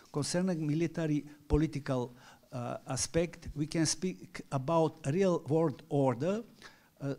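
A middle-aged man with a French accent, a native French speaker, reading a structured academic lecture at a panel in halting English. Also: fairly high pitch, mellow. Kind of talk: academic conference talk. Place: large conference hall with audience.

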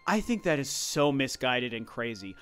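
A man's voice: medium pitch, big pitch swings, normal speed, fairly bright tone, clean tone. A man giving casual, conversational opinion commentary, speaking emphatically and repeating his points for stress. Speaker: video commentator. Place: voice-over over gameplay footage.

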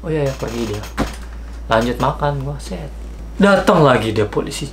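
A man in his thirties, seated in a small bedroom with a gaming setup, telling a story casually and acting out the dialogue with lively shifts in pitch, recorded on a camera mic. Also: moderate pace, background noise. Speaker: vlogger. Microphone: camera mic, seated facing camera.

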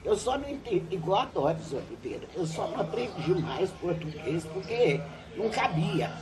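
An older woman tells stories from her teaching life with a lively, swooping voice. She is seated on a covered patio at home. The camera catches her from a short distance, and chatter from other people is heard behind her. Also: fairly low pitch, normal speed. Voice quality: lively, animated storytelling with laughs. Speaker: retired teacher. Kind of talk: reminiscing interview.

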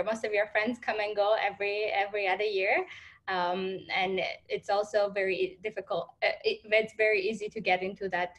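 A young woman giving informal panel answers on a video call, halting and often restarting as she thinks. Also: medium pitch. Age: young adult.

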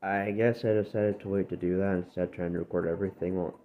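A man hosting his gaming channel, chatting casually over gameplay with a dry, gravelly voice because he has just woken up. He rambles and corrects himself as he goes. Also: low-pitched, flat delivery, quick pace. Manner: rambling, self-correcting, drifting between topics. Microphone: phone screen-recorder audio. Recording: tinny, phone-like, faint noise.

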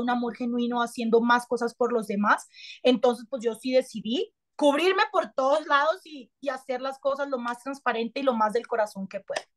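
A lively woman in her thirties tells her story in a casual interview, with a lot of slang and her hands always moving. Her voice sits high. She is on a webcam call from a small room indoors. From Colombia. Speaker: actress and TV host, guest. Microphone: webcam on a video call.

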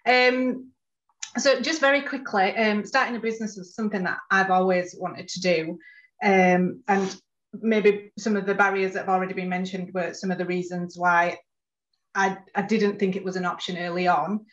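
A woman's voice: mid-range voice, fairly even intonation, moderate pace, highs cut off. A woman panelist telling her personal business story over a video call, with frequent fillers. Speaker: panelist, food business founder. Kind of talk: online panel answers.

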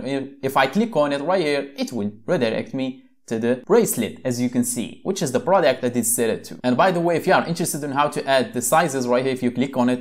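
Young man from Morocco, laid-back tutorial host, chatty with fillers, desk mic at home. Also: mid-range voice. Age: young adult. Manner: casual, conversational, frequent fillers and restarts. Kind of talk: screen-share software tutorial. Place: small room at home, desk setup.

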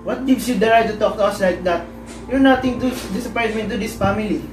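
A woman's voice: fairly high pitch, quick pace, close to an everyday voice. A woman playing a stern, demanding father in an amateur melodrama. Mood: angry. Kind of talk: amateur one-act melodrama acting. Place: living room, far camera mic. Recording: echoey room, distant mic, music over it.

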